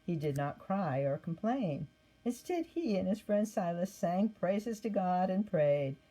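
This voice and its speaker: Woman, storybook narrator, lowish pitch, husky, expressive read-aloud. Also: unhurried, rough recording. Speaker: storybook reader. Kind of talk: scripted narration of a children's Bible story.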